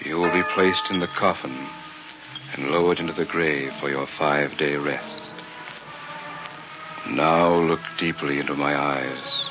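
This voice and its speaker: Pushy, sinister carnival hypnotist. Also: male voice, low-pitched. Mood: hypnotic, menacing. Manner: theatrical barker's patter. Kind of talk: old-time radio horror acting. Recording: muffled vintage radio broadcast with loud music.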